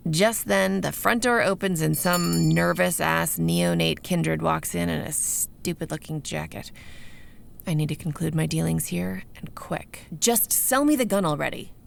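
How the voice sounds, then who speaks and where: Gravelly, pushy young vampire sorceress. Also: female voice, fairly low pitch, a little slow, slight background noise. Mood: brash. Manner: sardonic, commanding. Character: pushy, foul-mouthed teenage-looking female vampire sorcerer. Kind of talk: audio drama acting with first-person narration. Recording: music behind the voice.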